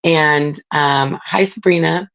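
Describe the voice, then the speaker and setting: A middle-aged woman, raspy from a spring cold, chatting casually as she hosts a live craft demo and greets viewers by name. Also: low voice, slow pace, a bit bright, dull audio. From Southern California. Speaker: craft livestream host. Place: home craft room.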